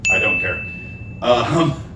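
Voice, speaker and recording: A man hosting a casual podcast chat, relaxed and rambling, with plenty of fillers and easy laughs, recorded in a living room with a football game on. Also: mid-range voice, takes it slowly. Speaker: podcast host and band member. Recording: roomy, distant mic, noisy background.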